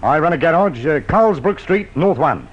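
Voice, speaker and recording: A man's voice: on the high side, expressive, normal speed. An actor playing an anxious, earnest male garage owner in a 1950s radio drama. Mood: urgent, wary. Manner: urgent, earnest. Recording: muffled, noisy old radio recording.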